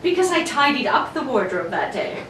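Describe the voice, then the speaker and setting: Woman acting young governess, distraught, earnest, pleading, slightly high voice, melodramatic radio style, noisy echoey recording. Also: big pitch swings, normal speed. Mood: earnest, insistent. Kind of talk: 1940s radio drama acting. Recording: roomy, distant mic with clear noise.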